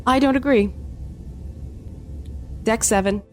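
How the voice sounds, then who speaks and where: An actress playing a rule-bound, coldly dutiful starship commander in an audio drama, clipped, curt and formal. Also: female voice, a little high, slow, a little mellow, slight background noise. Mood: stern, dismissive. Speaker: starship first officer. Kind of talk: science fiction audio drama acting.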